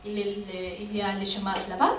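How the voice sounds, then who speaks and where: A woman's voice: mid-range voice, fairly quick, a bit bright. A woman from Spain, answering audience questions as a guest professor in an auditorium, hesitant with many fillers and a frequent "non?" tag. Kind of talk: lecture Q&A answers. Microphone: distant. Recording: echoey hall, distant mic, faint noise.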